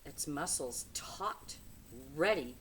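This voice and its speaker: A woman's voice: sits low, expressive, slow, fairly bright tone, a little hiss or hum. A woman reading a children's storybook aloud with dramatic, emphatic phrasing. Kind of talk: expressive children's storybook narration.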